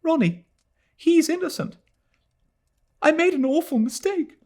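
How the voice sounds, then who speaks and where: A man voicing a distraught, remorseful young Englishwoman, high and pleading. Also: expressive, measured pace, darkish tone. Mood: anguished pleading.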